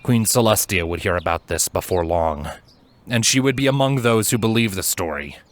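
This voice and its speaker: A man narrating scripted fiction in a measured, expressive and articulate way. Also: on the low side. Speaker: fan fiction narrator and voice actor.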